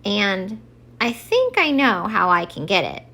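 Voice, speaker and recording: An eager, questioning little boy from a children's storybook, voiced by a woman. The voice is bright and earnest, with emphatic, lively intonation. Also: medium pitch, unhurried, heavily acted. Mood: determined. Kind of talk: children's storybook read-aloud.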